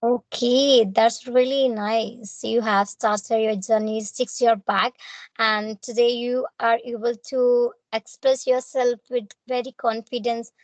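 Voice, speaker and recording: A woman's voice: fairly high pitch, level tone, slow pace, no echo, poor audio. A young woman with a North Indian accent and Hindi as her first language, speaking English in a formal, courteous, earnest way in a practice conversation. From Punjab, India.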